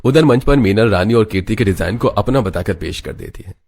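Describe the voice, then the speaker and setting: A man's voice: fairly low pitch, expressive, brisk, a little mellow. A man narrating a scripted dramatic family story as a storyteller. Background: background music.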